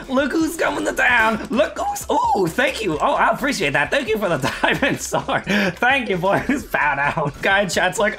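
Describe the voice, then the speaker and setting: Young male gamer, hoarse and cracking, lively bright delivery, constant laughter. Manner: hyped, laughing, voice cracking up high. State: hoarse, losing his voice. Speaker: gaming streamer. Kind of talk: excited gameplay commentary. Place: home gaming setup, indoors.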